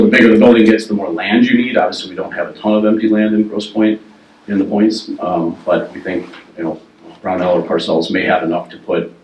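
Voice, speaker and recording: Deep-voiced man presenting in an echoey room. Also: darkish tone, a little hiss or hum. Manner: measured, explanatory, with frequent ums and uhs. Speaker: facilities planning consultant. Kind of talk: informational presentation at a meeting. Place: meeting room. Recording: echoey room, voice from far off the mic.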